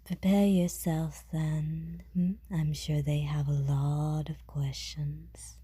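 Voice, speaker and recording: A woman playing a calm, world-weary woman who speaks politely and measuredly, with dry teasing and care, in an audio roleplay. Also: low voice, breathy edge, no room sound, heavily acted. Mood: gently teasing. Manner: measured, polite, softly jaded.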